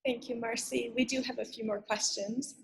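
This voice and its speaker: Higher-voiced, creaky woman moderating. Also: fairly even intonation, normal speed, rough recording. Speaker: moderator of a question session. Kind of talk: reading out audience questions.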